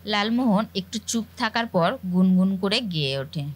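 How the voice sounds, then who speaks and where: A woman's voice: medium pitch, animated, moderate pace, slightly rough. A woman narrating a cartoon story in a scripted storytelling style. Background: faint music.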